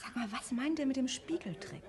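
Animated, curious detective's wife. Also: female voice, high voice, brisk. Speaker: radio drama actor. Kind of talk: German radio crime drama acting.

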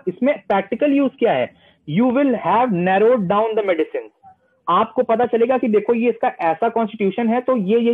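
A young man teaching homeopathy, reading English passages slowly and then explaining them, often answering his own questions. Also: high voice, slightly rough. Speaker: homeopathy lecturer. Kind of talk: online lecture mixing Hindi and English. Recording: tinny phone-line sound.

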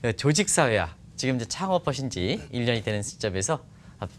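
A middle-aged man hosting a broadcast interview, speaking in halting, wordy phrases. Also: medium pitch, very animated, a little hiss or hum. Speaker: TV show host. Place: bright TV studio. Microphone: lapel mic.

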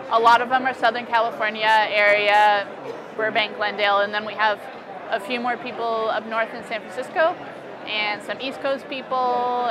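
A young American woman talking casually and informally as an artist being interviewed at an expo booth. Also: on the high side. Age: young adult. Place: indoor convention expo booth. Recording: busy crowd noise around the voice. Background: convention hall crowd chatter.

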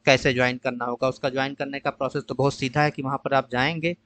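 A man's voice: mid-range voice, flat delivery, a bit bright, no room sound. Patient male tutor, dry close mic. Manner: patient, explanatory, mixes English phrases with frequent "uh" pauses. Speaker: tutor explaining a college allotment process. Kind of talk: screen-share tutorial walkthrough.

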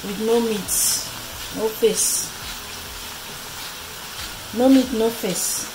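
A woman's voice: on the high side, very slow, background noise. A woman thinking aloud in a casual cooking vlog as she works in her home kitchen. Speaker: home cook vlogger. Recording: loud music behind the voice.

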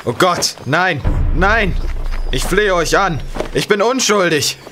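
A man's voice: high-pitched, animated, slow, over music. Panicked condemned man, wildly pleading. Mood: desperate pleading. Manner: pleading, desperate. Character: panicked condemned man begging for his life. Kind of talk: audio drama acting.

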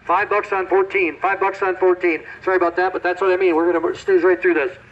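A man's voice: high voice, level tone, fast. A man working as an auctioneer, calling sales in a repetitive patter, heard through a dull distant sound system. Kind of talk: auction calling with rapid chant. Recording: dull, distant loudspeaker, light background noise.

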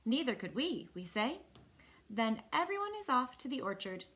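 A woman in her thirties with an American accent, reading a picture book aloud to children with expressive, clearly phrased delivery. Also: a little high, moderate pace. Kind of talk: children's read-aloud storytelling. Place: small indoor room.

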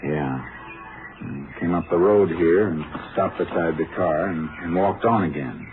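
A man playing a steady, terse Texas Ranger investigator in a 1950s radio crime drama. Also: low-pitched, a little slow. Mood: matter-of-fact. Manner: terse, matter-of-fact. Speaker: lead actor and narrator. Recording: muffled 1950s radio recording with music.

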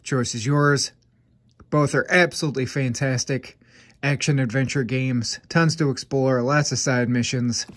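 A man's voice: medium pitch, fairly even intonation, dry recording. A man hosting a gaming channel, chatting casually while he recommends games, sounding a little stuffed up from congestion and keeping an upbeat, chatty manner. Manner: upbeat, chatty, quick asides. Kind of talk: casual game recommendations to camera. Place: room with game shelves, indoors.

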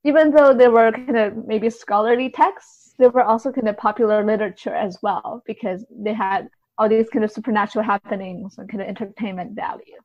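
A woman's voice: mid-range voice, fairly even intonation, a little fast, hardly any pauses. Woman interviewee, dull lo-fi audio. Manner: explanatory, hedging with many softeners. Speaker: guest literary translator. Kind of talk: interview conversation.

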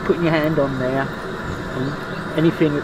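A man's voice: on the high side, fairly even intonation, a little slow, noisy background. A man explaining how he bakes bread over a campfire, easygoing and friendly. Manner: easygoing, friendly, says mate. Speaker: guest cook. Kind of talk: casual explaining while cooking. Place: outdoors by a campfire in a forest.

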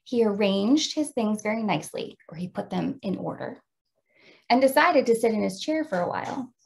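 A middle-aged woman with an American accent, explaining and reading aloud as a teacher of young children in an expressive way. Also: medium pitch, normal speed, creaky. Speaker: teacher demonstrating a read-aloud.

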